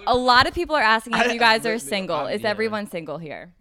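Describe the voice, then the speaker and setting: A woman's voice: a little high, lively intonation, fast, pushed and bright. Bubbly chatty woman hosting a podcast. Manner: chatty, bubbly, many fillers. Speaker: podcast host. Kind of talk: casual chat and read ad. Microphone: shared podcast mic.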